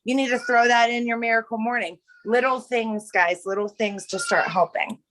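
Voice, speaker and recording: An energetic woman leads her team through a casual pep talk, pressing each point with emphasis. Her voice sits fairly high and sounds bright and forceful. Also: normal speed, a little hiss or hum, poor audio. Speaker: team leader, host.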